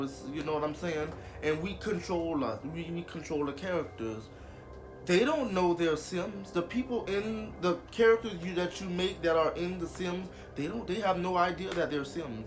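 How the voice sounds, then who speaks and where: Stuffy-nosed young guy rambling to his viewers, slightly high voice, soft music under it. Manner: rambling, repeats himself, many fillers. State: congested from a cold. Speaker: YouTuber. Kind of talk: casual vlog monologue.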